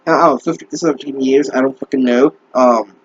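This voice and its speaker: A man's voice: medium pitch, steady tone, fairly quick, husky, no room sound. A young man reading a bad fanfic aloud and mocking it with exasperated, profane, sarcastic remarks. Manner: sarcastic, exasperated, swears often. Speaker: fanfic reader and commentator. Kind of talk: mocking commentary on a bad story. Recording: dull lo-fi dry mic.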